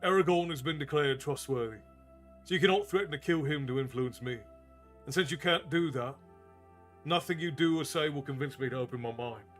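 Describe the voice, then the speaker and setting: A man's voice: a little high, a little fast, a bit bright, soft background music, natural voice. A man voicing a stubborn young male captive in a read-aloud fantasy story, firm and stony. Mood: defiant.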